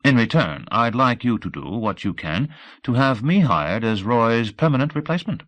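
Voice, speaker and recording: A man's voice: mid-range voice, very animated, moderate pace, a bit bright, pitched above the performer's own voice. Man putting on a brassy, flirtatious woman fixer. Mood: brisk, scheming. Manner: breezy, flirty, slangy.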